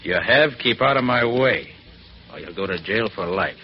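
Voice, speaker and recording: An actor playing a confident, commanding master detective with an assured manner in a 1940s radio drama. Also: male voice, lowish voice, lively intonation, normal speed, natural voice. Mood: hard, threatening. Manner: assured, crisp, authoritative. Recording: dull, noisy 1940s radio recording.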